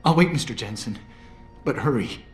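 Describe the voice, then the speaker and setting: A man's voice: medium pitch, animated, normal speed, close to an everyday voice. An actor playing an anxious, timid captive male scientist in a video game, speaking in a hesitant, faltering way. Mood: apologetic, anxious. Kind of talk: video game cutscene dialogue. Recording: roomy game cutscene with music. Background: background music.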